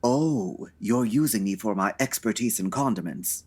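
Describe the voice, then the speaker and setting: Man playing a husky, fussy, droll sidekick. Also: on the low side, moderate pace, darkish tone. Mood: wry grumbling. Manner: arch, wry, aggrieved. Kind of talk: comedic 1940s radio detective acting.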